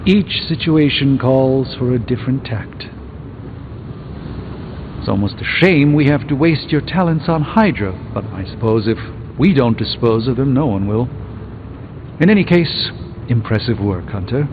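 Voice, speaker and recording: An actor playing a proud, erudite sorcerer in a video game, speaking in pompous, formal, wordy phrases. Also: male voice, medium pitch, animated, measured pace, phone-quality sound, noisy background. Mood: earnest. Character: pompous, erudite adult male sorcerer superhero, proud and wry. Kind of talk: video game character dialogue. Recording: thin band-limited game audio with music behind.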